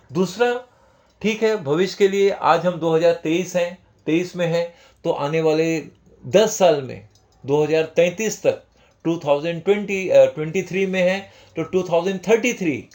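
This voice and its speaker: A man with a slightly high voice talks viewers through long-term investing. His manner is conversational, and he drops English finance terms into his sentences. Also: darkish tone. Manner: conversational, repeats "dosto", mixes English terms. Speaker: stock market educator. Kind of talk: informal finance talk to viewers.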